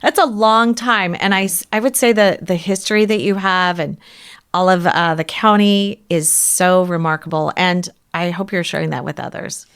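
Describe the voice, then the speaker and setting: A woman podcast host with a lowish, smooth, resonant voice guides the interview. She affirms her guest often with warm mm-hmms and yeahs. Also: normal speed. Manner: warm, affirming, often says "mm-hmm" and "yeah". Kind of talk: interview hosting.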